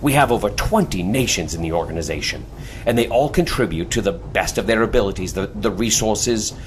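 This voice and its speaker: An actor playing a courteous, confident leader of secret agents in an adventure audio drama, speaking in a genteel, theatrical way. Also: male voice, on the low side, lively intonation, fairly quick, a little hiss or hum, natural voice. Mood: earnest, persuasive.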